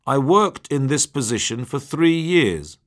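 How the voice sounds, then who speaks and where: A man reading English phrases one by one, slowly and distinctly, for a language lesson. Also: medium pitch, very animated. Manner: reads each word or phrase slowly and distinctly. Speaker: phrase reader.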